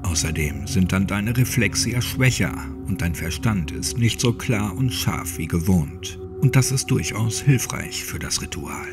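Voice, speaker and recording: Male storyteller, low pitch, very varied intonation, suspenseful horror narration, dramatic delivery. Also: lively intonation, a little slow, few pauses. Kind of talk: scripted creepypasta audiobook narration, addressing the listener. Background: music under the voice.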